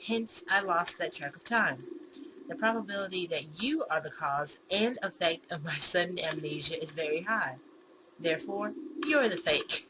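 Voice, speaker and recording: A woman's voice: on the low side, expressive, normal speed, close to an everyday voice. A woman voicing a bewildered, argumentative man in a visual novel, with an indignant, pedantic and sarcastic manner. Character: bewildered, argumentative man facing his own double. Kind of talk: visual novel character read-aloud. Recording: clear background noise.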